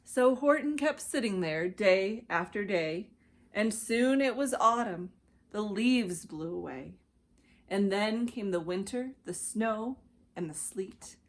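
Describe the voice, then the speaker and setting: A woman with an American accent narrating a children's storybook with deliberate phrasing and dramatic pauses. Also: on the high side, lively intonation. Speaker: children's ministry director reading a storybook.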